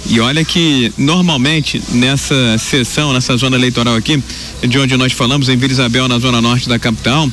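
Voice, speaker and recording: A man's voice: medium pitch, brisk, a little hiss or hum. Brazilian man reporting from a street. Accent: Brazilian Portuguese. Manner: joking and relaxed, then informative. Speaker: field reporter. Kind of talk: live election-day news report. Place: outdoors by a polling station with long queues.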